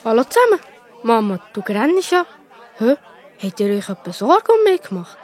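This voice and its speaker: Woman as lively, carefree twelve-year-old Swiss boy. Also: a little high, normal speed. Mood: cheerful, puzzled. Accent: Swiss German. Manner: carefree, matter-of-fact. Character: cheerful, self-assured twelve-year-old boy Jesus. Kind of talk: children's audio drama acting.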